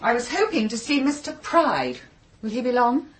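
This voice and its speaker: An actress playing a cool, haughty English secretary in a radio drama, speaking formally and with composure. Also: female voice, on the high side, big pitch swings, normal speed, noisy background. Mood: brisk, impatient. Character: cool, brisk, haughty female secretary to a powerful man. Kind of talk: British radio drama acting.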